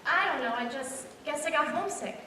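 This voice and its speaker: A woman's voice: sits high, animated, a little fast, bright, forceful tone. A woman playing a playful young small-town woman in love, in a staged radio play. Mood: wistful, coaxing. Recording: echoey hall from far off, faint noise.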